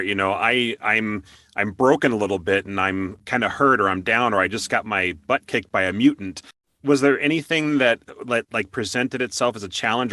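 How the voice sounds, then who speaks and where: A man's voice: fairly low pitch, bright, forceful tone, no room sound. A man hosting an interview in a conversational style, explaining at length as he works toward his questions. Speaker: interview show host.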